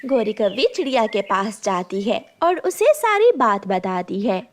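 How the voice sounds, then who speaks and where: A woman's voice: medium pitch, very animated, measured pace, a little hiss or hum. A woman narrator reading a scripted children's story for a cartoon.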